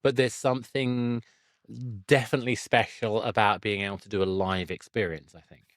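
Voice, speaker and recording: A man's voice: on the low side, steady tone, moderate pace, dry recording, clean studio sound. A middle-aged man hosting a casual interview, self-deprecating and rephrasing as he thinks aloud. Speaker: podcast host and interviewer.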